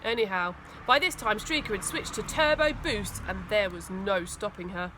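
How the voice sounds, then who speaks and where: A woman reading a children's story aloud with expressive, dramatic emphasis. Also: mid-range voice, normal speed, noisy background. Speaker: school teaching assistant reading aloud.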